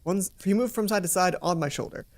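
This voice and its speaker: A let's play host reading a visual novel aloud in an expressive storytelling way, with asides. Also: mid-range voice, fast.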